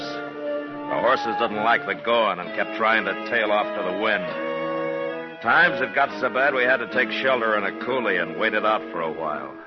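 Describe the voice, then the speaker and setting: An American actor playing a steady, laconic frontier marshal in a radio western, terse and authoritative. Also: male voice, lowish voice, lightly acted. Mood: grave narration. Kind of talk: 1960s radio drama acting with first-person narration. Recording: muffled vintage radio recording with music.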